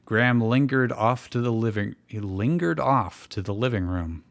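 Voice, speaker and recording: A man's voice: mid-range voice, moderate pace, gravelly. A man reading fan fiction aloud as a show host, often breaking off to joke and comment in casual banter. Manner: joking, breaks off to comment on the text.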